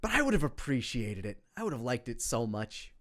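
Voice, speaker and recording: A man's voice: mid-range voice, sing-song, fairly quick, fairly bright tone. A man giving casual commentary on his own work, rambling, candid and sweary. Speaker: creator commenting on own parody series.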